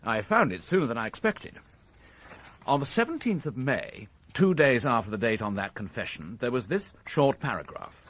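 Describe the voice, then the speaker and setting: An actor playing a methodical, courteous British police inspector in a radio crime drama, speaking in a measured, formal and precise way. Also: male voice, medium pitch, sing-song, a bit bright. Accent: British English. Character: methodical, courteous male police inspector reporting his findings.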